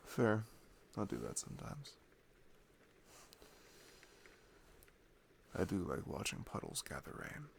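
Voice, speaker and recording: A man playing an affectionate, playful boyfriend in an ASMR roleplay, talking softly and intimately. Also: lowish voice, big pitch swings, creaky, slight background noise. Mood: playful, tender. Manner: soft, unhurried, intimate, chuckling. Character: affectionate, playful, sleepy-morning boyfriend, cuddly and sweet.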